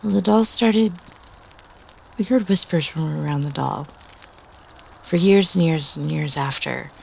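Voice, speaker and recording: Actress as young woman prankster telling a campfire ghost story, lots of fry, raspy, pauses for effect. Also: sits low, darkish tone, heavily acted, low-quality recording. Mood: somber, eerie. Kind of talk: audio roleplay campfire storytelling.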